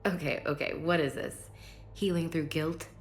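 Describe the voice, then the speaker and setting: A woman's voice: sits low, steady tone, normal speed, slight background noise. A woman playing a guarded, frank soldier with a wry streak in a video game.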